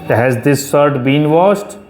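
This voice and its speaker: A woman teaching English grammar, reading sentences slowly and clearly for a student to repeat. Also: deep voice, lively intonation, darkish tone, soft background music. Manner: slow, clear dictation of sentences. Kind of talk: repeat-after-me grammar drill.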